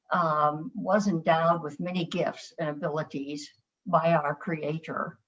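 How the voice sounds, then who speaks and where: Older woman, low voice, gentle memorial remarks; muffled video-call sound. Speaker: family member speaking at a memorial.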